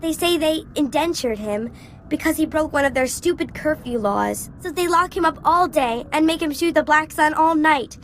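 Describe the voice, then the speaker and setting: A woman voicing a frightened, pleading child in a video game, timid and halting in her delivery. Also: high voice, slight background noise. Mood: desperate, pleading. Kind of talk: video game character acting.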